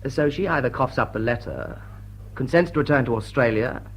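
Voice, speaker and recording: An actor playing a suave, drily witty English lord with an upper-class British accent, formal and deliberate in a period radio drama. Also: male voice, mid-range voice, natural voice, low-quality recording. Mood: brisk, dry. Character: suave, sardonic English lord chairing a meeting. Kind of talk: period drawing-room radio drama acting.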